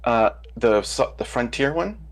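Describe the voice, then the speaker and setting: A man's voice: mid-range voice, expressive, unhurried. A man, a self-described voice acting fan, asking a question on a live stream, eager and rambling with many restarts.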